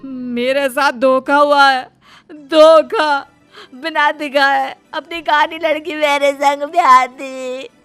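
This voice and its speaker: A woman puts on a young cartoon husband with a very high voice and faint music behind. He is greedy and whiny, protesting like someone who has been cheated. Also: a little mellow, lightly acted. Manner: whining, aggrieved, protesting. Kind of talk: Hindi cartoon moral-story dialogue.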